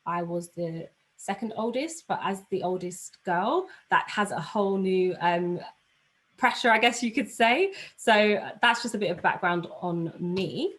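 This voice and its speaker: A woman's voice: medium pitch, expressive, measured pace. A woman with a London accent giving an informal presentation on a video call, chatty and slightly flustered. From London, England. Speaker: aviation analyst giving a talk.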